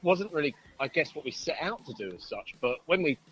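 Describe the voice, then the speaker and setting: A man speaking as a musician guest in a casual interview, explaining things in a rambling, halting way with many false starts. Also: a little high, sing-song, a bit bright, a little hiss or hum, rough recording. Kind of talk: casual chat.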